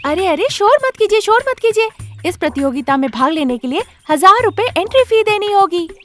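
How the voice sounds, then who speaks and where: A woman voicing a fame-hungry young daughter-in-law, excitable and wheedling, in a story. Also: very high-pitched, fairly quick, faint music behind, voice pushed up. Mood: loud announcing. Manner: whiny, exclaiming, wheedling.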